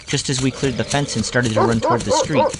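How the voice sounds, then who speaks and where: A man's voice: medium pitch, fairly even intonation, fast, very noisy. A man narrating a scripted, nostalgic first-person story as its storyteller.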